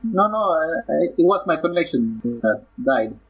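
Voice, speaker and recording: A man's voice: on the high side, moderate pace, husky, soft background music. A man from El Salvador whose first language is Spanish, chatting casually with short, easy answers. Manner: relaxed, laughs easily, short answers. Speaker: guest co-host. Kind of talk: casual online chat. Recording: dull distant call audio.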